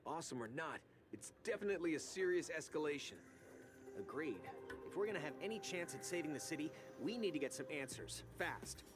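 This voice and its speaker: A brainy, earnest young mutant turtle, voiced by a man reasoning through clues in a game. His voice is a bit high and lively, with music behind. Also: a little fast, close to an everyday voice. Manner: analytical, earnest.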